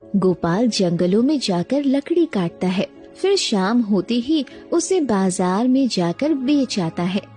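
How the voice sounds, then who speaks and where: A woman narrator reads a children's tale at a slow, measured pace. Her tone is mellow and dark, and music plays softly behind her. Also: medium pitch, sing-song. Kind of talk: scripted children's story narration.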